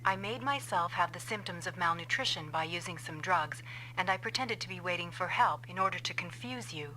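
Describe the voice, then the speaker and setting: An actress playing a vengeful female scientist in an anime-style game dub, explaining coldly and deliberately. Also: on the low side, sharp tone, slight background noise, poor audio. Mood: vengeful resolve. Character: vengeful female scientist confessing a revenge plot.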